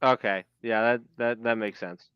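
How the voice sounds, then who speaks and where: Brief: crisp bright male co-host, stumbling with fillers, muffled lo-fi sound. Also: mid-range voice, fairly even intonation, no echo. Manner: halting, many fillers, laughs often. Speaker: podcast co-host. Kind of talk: casual sports chat. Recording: dull, slightly lo-fi sound.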